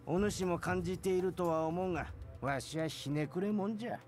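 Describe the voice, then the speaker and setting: A man's voice: high-pitched, very fast, lightly acted. An actor playing a regretful, self-deprecating elderly man living alone, with old-fashioned speech, in a visual novel. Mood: wistful, regretful. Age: older. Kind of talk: Japanese visual novel voice acting. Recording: game music behind the voice.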